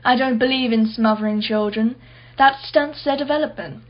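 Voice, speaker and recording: A wealthy society woman in a radio drama, played by an actress, speaks in a fairly high voice with a clipped, imperious manner. She is blunt, brittle and guarded. The recording is somewhat dull and muffled. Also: normal speed, close to an everyday voice. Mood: haughty. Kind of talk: radio play acting.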